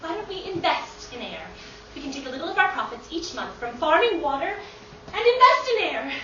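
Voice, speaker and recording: Musical comedy water seller, female, played by a woman: proud, wholesome, theatrical; high-pitched, muffled from off-mic. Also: sing-song, brisk, pushed and bright, a little hiss or hum, full character voice. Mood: earnest, proud. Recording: roomy, distant, muffled live stage recording.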